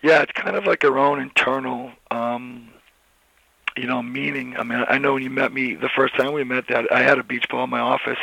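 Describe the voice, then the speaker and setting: A hoarse man speaks with a heavily gravelly, raspy edge and a tense, forceful tone that stays flat in pitch. He is a college football coach who rambles through his answers, restarting and leaning on fillers. The sound is dry and close. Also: no room sound, low-quality recording. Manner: rambling, many restarts and "you know" fillers. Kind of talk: casual interview answers.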